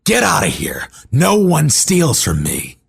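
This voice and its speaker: An actor playing a vengeful, sardonic husband back from the dead in a horror audio drama, speaking with slow menace. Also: male voice, a little high, wildly swooping pitch, vocal fry, husky, heavily acted. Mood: bitter anger. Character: murdered husband reanimated as vengeful, sardonic dismembered corpse.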